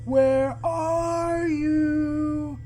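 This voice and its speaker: A man performs a monstrous furry she-bear from a horror story. The voice is very high and slow, singing out its taunts like a predator toying with prey. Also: fairly even intonation, dark tone, soft background music, heavily acted. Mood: taunting, menacing. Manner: sing-song, slow, drawn-out calling.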